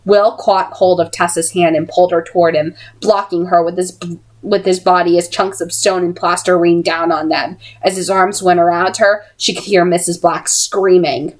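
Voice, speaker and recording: A woman reading fiction aloud as an amateur audiobook narrator, in an expressive storytelling style. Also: lowish voice, moderate pace, low-quality recording.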